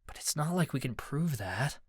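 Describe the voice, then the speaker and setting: A man voicing a wary young male prisoner who talks in hushed, urgent whispers in a storybook reading. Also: medium pitch, animated, moderate pace, slightly rough, no room sound, clean studio sound. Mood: resigned.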